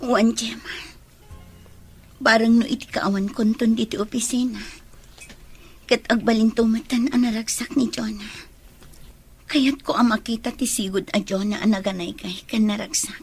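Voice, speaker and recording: An actress plays a sorrowful young woman in an audio romance drama. Her voice is husky and slightly breathy, subdued and apologetic. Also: a little high, steady tone, normal speed, pauses often, faint music behind. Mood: wistful resignation.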